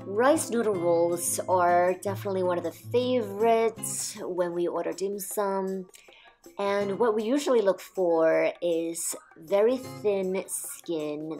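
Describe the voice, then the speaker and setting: A woman calmly narrating a restaurant food review as a voice-over, describing each dish in a measured way. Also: on the low side. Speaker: food vlogger.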